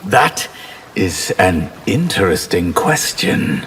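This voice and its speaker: Disdainful warrior mage, slow, expressive pitch, video game, long reverb. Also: male voice, very noisy, full character voice. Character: cool, disdainful male water sorcerer warrior. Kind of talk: video game fight intro dialogue.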